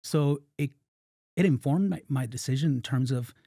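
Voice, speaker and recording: A middle-aged man from Argentina, a company founder giving measured, thoughtful interview answers. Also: mid-range voice, warm tone, dry recording, clean studio sound. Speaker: startup founder and guest.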